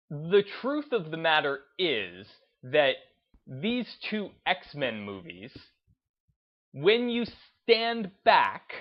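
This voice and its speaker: Male video host, unhurried and halting, rambling off-the-cuff commentary, slightly dull lo-fi mic. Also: mid-range voice. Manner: hesitant, rambling, repeats and restarts phrases. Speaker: host of a gaming video channel. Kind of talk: unscripted opinion commentary.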